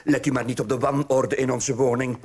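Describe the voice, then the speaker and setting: A man's voice: medium pitch, little pitch movement, a little fast, darkish tone, slightly rough, close to an everyday voice. An actor playing a courteous, effusive Venetian count and father, gracious and eager to please, in a radio drama.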